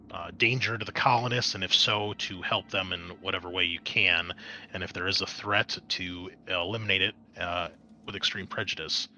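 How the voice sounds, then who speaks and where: A man's voice: on the low side, sharp tone, a little hiss or hum. A man running a tabletop game as game master, narrating in a casual way with some hesitant phrasing.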